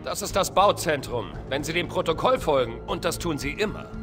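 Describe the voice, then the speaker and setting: A man plays a determined young soldier in an action game. His voice sits high for a man, and loud music runs behind it. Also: lively intonation, fairly quick, lightly acted. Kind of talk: German video game dub acting.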